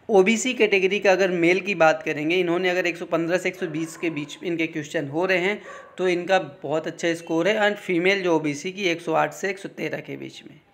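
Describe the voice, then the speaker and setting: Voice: mid-range voice, fairly bright tone. An exam-prep coach, giving an informal explainer in an instructional way, repeating points and often adding short check-in tags.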